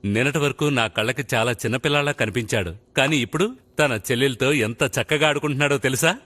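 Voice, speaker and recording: A man voicing an excitable, gullible, doting father with a gushing manner in a dubbed children's cartoon. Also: mid-range voice. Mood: proud. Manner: animated, gushing, quick to fuss. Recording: cartoon music behind.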